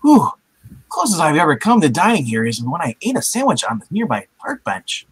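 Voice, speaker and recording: A man's voice: a little high, wildly swooping pitch, brisk, slightly rough, heavily acted. A man voicing a young, wisecracking, chummy everyman hero in a live comic-book reading. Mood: wry musing. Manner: jokey, chummy.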